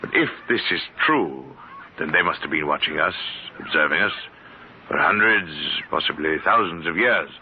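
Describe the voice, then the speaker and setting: A stiff, precise professor type, very low voice, formal and pedantic; muffled vintage radio with light hiss. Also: male voice, animated, normal speed. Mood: earnest. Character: odd, precise scholarly man seeking Martians. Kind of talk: 1950s radio drama acting.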